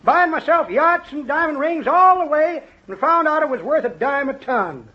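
A man's voice: very high voice, expressive, moderate pace. An actor playing a jokey, hard-of-hearing old man in folksy 1950s radio comedy style. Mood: folksy reminiscing. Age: older. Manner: folksy, jokey, calls everyone kids, son and daughter. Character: cheerful, joking, hard-of-hearing old male codger, former prospector. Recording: dull, lightly noisy vintage radio recording.

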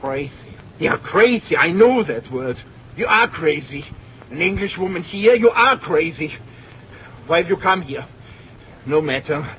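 An imperious German dictator for a radio play, volatile and paranoid. The voice is tense, forceful and fairly high, barking clipped orders in a German accent. The old recording sounds muffled. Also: male voice, animated, unhurried, slight background noise. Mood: scornful, impatient. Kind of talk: old-time radio drama acting.

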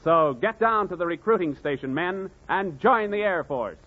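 A man's voice: sits high, animated, very noisy. Tinny, persuasive male radio announcer. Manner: brisk, persuasive sales tone. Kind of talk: 1940s radio recruiting pitch. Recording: tinny old radio broadcast.

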